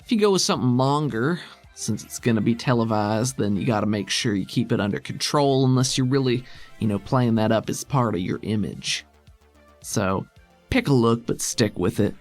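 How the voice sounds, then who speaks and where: A man's voice: mid-range voice, moderate pace, soft background music, close to an everyday voice. A man playing a crude, defiant alien handler who talks bluntly and casually in a tabletop game. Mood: matter-of-fact.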